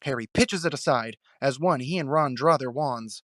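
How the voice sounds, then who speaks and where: A man delivering dramatic scripted narration with suspenseful, deliberate phrasing. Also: medium pitch, fairly bright tone, no room sound, studio quality.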